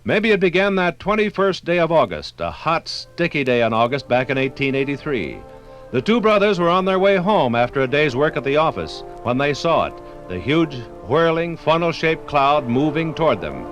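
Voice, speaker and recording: American guy doing old 50s radio narration, dramatic storyteller, bit high, some music behind. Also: big pitch swings, normal speed, a bit bright. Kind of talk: scripted 1950s radio documentary narration.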